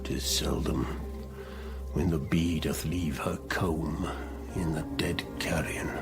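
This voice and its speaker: A man's voice: a bass voice, fairly even intonation, takes it slowly, over music, heavily acted. An actor playing an ailing, grieving old king in a Shakespearean radio drama, weary, brooding and declamatory. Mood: bleak. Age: older. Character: ailing, grieving old king fretting over his heir.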